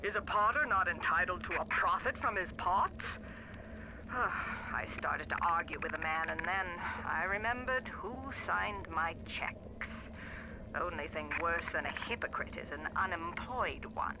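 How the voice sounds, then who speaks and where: A woman's voice: lowish voice, unhurried. A woman acting a wry, disillusioned botanist with a dry, sardonic delivery in a game audio diary. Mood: bitter, resigned. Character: wry, disillusioned botanist resenting a wealthy employer. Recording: very noisy recording, hissing radio-like backdrop.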